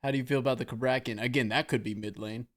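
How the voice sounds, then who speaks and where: A man's voice: mid-range voice, fairly even intonation, racing. A man giving live esports commentary and analysis as a co-caster. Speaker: esports shoutcaster.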